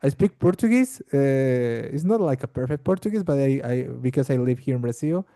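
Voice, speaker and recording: Halting, very dark-voiced man. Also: medium pitch, lively intonation, dry recording, studio quality. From Bolivia. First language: Spanish. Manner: halting, repeats words while searching for them. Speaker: language exchange participant. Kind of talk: casual conversation practice.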